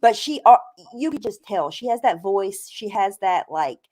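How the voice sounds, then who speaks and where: A woman's voice: medium pitch, lively intonation, normal speed, dark tone. A woman chatting casually on a live stream as a panelist, blunt and opinionated, swearing freely. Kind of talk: casual gossip chat.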